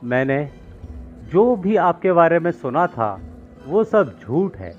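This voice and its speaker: A man's voice: a little high, big pitch swings, slow. A man voicing a distressed, complaining farmer in an acted moral story, pleading and dramatic. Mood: disappointed, accusing. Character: distressed, complaining farmer seeking a holy man's help. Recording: muffled lo-fi with music behind.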